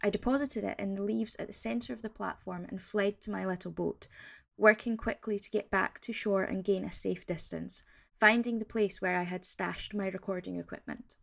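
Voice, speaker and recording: A woman playing an awed field naturalist, giving a measured, earnest scripted report in the first person. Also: medium pitch, fairly even intonation, creaky. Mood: tense wonder.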